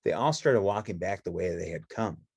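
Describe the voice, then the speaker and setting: A woman with a low, husky voice narrates a children's read-along storybook. The recording is close and dry. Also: animated, moderate pace, a little mellow, no echo.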